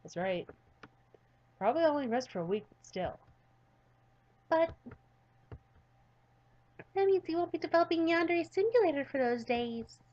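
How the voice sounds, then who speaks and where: A woman voicing a weary, burned-out male game developer who speaks in a subdued, halting way. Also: high-pitched, big pitch swings, a little mellow, dull audio, voice pushed up.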